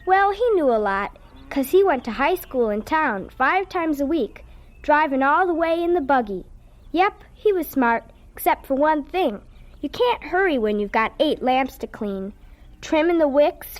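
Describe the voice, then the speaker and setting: A woman's voice: high voice, expressive, measured pace. A woman playing an eager, dreamy ten-year-old farm girl in a radio drama, with a childlike, folksy way of telling her story. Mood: wistful storytelling. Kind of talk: radio drama first-person narration and dialogue.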